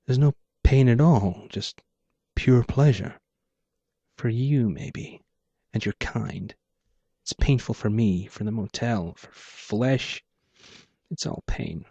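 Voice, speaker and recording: A man's voice: mid-range voice, slow, creaky, long pauses, warm tone, slightly rough, no echo, full character voice. A weary, enigmatic woman played by a man speaks with bitter disdain and an intoning delivery, as in a noir audiobook. The sound is dry and somewhat dull.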